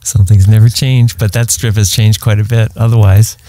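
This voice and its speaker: A middle-aged man co-hosting a podcast in an easygoing, casual chat, often laughing softly. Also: fairly low pitch, level tone, moderate pace, no room sound. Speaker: podcast co-host.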